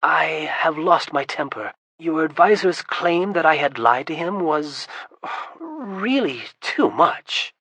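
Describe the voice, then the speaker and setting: Storybook wizard, calm and courtly, voiced by the narrator, bright tone with a slightly breathy edge. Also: mid-range voice, slow, no echo, exaggerated voice. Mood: courteous. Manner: composed, courteous, persuasive. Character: calm, smooth-talking adult wizard adventurer.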